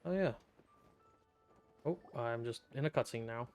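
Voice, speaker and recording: A laid-back man live-streams a video game in an unhurried drawl, thinking aloud and reading the on-screen text as he goes. His pitch sits in the middle, with faint game music underneath. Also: darkish tone, clean tone. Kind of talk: casual live-stream commentary.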